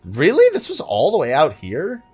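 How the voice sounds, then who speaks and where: A man's voice: a little high, wildly swooping pitch, normal speed, a little mellow, slightly rough, soft background music. A man hosting a let's play with casual gaming commentary, thinking aloud and muttering asides to himself.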